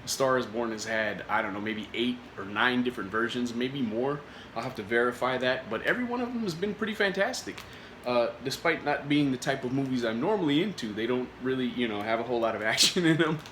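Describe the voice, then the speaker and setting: A man talks through a snack review in a loose, rambling way, full of "uh"s and restarted sentences, still getting over a cold. His voice sits at an ordinary middle pitch. The room gives it a noticeable echo with faint background noise. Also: lively intonation, fairly quick, fairly bright tone. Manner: rambling, many filler words and restarts. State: recovering from a cold. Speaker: food reviewer. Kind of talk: casual product review vlog.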